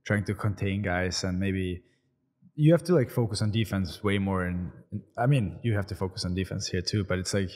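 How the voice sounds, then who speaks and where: A man in his twenties with an Austrian German accent, a pro hockey player answering interview questions in a halting way, full of fillers and restarts. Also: low-pitched, darkish tone. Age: 20s. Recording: long reverb, echoey room.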